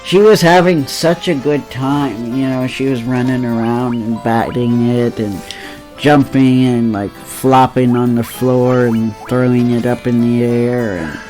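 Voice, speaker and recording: A nasal-voiced man, stuffy from allergies, chats in an easy, unhurried ramble. His medium-pitched voice drifts along between laughs. Faint game music plays underneath. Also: fairly even intonation, darkish tone, rough recording. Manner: slow, rambling, laughs easily. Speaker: let's play co-host. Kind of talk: casual chat over gameplay.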